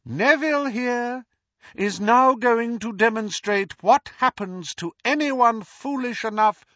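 Silky hissing evil lord, man doing the voice, slow and mocking, higher than usual, muffled recording. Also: a bit bright, dry recording, exaggerated voice. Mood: cold, quiet. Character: soft-spoken hissing dark lord, male, cold and cruel.

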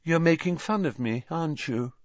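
A man's voice: on the high side, normal speed, no echo, muffled recording, voice pushed up, lightly acted. A man voicing a dreamy, guileless, eccentric schoolgirl in an audiobook, airy and matter-of-fact. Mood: wistful. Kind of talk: audiobook character voice.

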